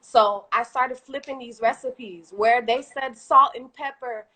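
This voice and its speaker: Chatty Haitian-accented woman, bright tone. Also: a little high, unhurried, rough recording. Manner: talkative, animated, laughs often. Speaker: guest entrepreneur. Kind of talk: casual live-stream chat. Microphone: video call.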